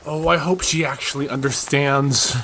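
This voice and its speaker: A man's voice: medium pitch, fairly even intonation, moderate pace, bright, forceful tone, a little hiss or hum, close to an everyday voice. A man playing a goofy, nervy, friendly young man in an audio drama, talking casually and a bit flustered. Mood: nervous.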